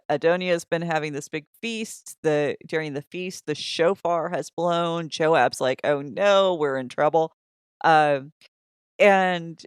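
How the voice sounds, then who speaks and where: A woman's voice: sits low, clean tone, no echo, clean studio sound. A woman co-hosting a podcast, talking through scripture in a casual, conversational and explanatory way. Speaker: podcast co-host. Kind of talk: casual Bible discussion.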